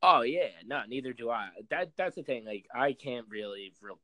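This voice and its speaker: A man's voice: medium pitch, animated, moderate pace, sharp tone. Easygoing, laughing young man. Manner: easygoing, laughs often, agreeable. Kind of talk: casual chat.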